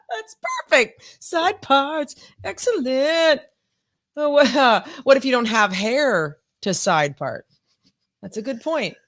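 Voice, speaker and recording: A woman's voice: high-pitched, lively intonation, slow pace, fairly bright tone. A woman in her fifties co-hosting a live podcast, chatting casually and reading viewer comments aloud, laughing often and swearing freely. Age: 50s. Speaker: podcast co-host.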